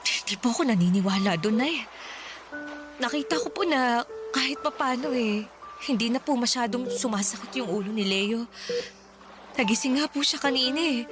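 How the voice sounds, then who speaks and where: A woman's voice: on the high side, close to an everyday voice. A woman playing a devoted, anguished mother in a Filipino radio drama, tearful, pleading and tender. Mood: tearful, hopeful.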